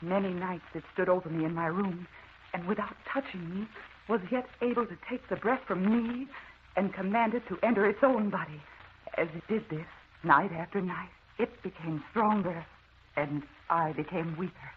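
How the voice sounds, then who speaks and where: An actress playing an anxious, haunted wife in a melodramatic old-time radio drama. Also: female voice, fairly low pitch, moderate pace, close to an everyday voice. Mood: haunted, brooding. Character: anxious, haunted wife recounting supernatural terrors. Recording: muffled, noisy old radio recording.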